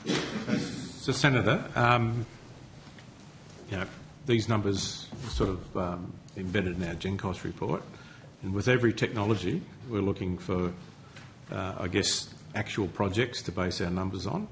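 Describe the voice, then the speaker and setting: A man giving testimony as an official at a hearing, answering in a hesitant, measured way. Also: sits low, noisy background. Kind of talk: spoken testimony. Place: parliamentary committee room.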